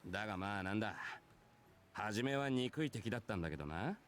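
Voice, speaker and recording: Man voicing a brash, fast-talking warrior. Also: lowish voice, animated, a little hiss or hum, close to an everyday voice. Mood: casual, boastful. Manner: rough, casual, nonchalant masculine speech. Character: brash, easygoing male warrior telling his own past. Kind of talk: Japanese visual novel voice acting.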